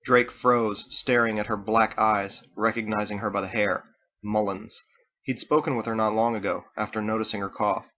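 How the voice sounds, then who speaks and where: A man's voice: on the low side, steady tone, normal speed, pushed and bright, highs cut off. A man reading his story aloud as dramatic scripted audiobook narration. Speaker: author reading own book.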